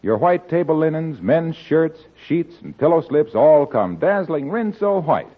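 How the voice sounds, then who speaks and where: A man reading a brisk, upbeat radio commercial as announcer, on a tinny old broadcast recording. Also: a little high, big pitch swings.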